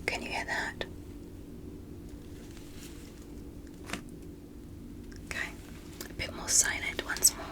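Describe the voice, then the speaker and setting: A woman playing a polite, gentle alien doctor in an ASMR medical roleplay, speaking in a careful, breathy whisper. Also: natural voice. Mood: hushed, clinical, curious. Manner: slow, careful, softly reassuring.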